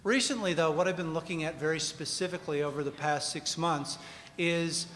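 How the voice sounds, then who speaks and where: A man's voice: fairly high pitch, fairly quick, fairly bright tone, a little hiss or hum. A man speaking as a panelist on real estate, casually polling the audience. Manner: conversational, polls the room. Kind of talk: panel talk engaging the audience. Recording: reverberant room, faint ambience.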